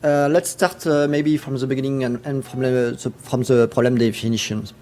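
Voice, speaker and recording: A man with a French accent giving a technical conference presentation, halting, with many fillers and restarts. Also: medium pitch, fairly even intonation, a little mellow. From France. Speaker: conference speaker.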